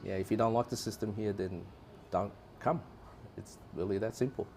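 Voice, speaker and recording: A man's voice: on the low side, steady tone, normal speed, gravelly, darkish tone, faint music behind. A man from Australia, a guest in a casual interview, earnestly giving plain, repeated advice to travelers.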